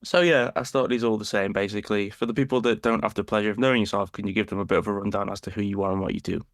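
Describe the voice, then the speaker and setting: A man's voice: fairly low pitch, fairly even intonation, racing. A man hosting a podcast, asking chatty, casual questions. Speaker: podcast host.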